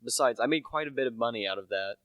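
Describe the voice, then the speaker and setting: A man's voice: mid-range voice, quick pace, a bit bright, no room sound, close to an everyday voice. A young man playing a humble, earnest salesman turned amateur sleuth in a radio play, plain-spoken and a little hesitant. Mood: chatty, modest. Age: young adult.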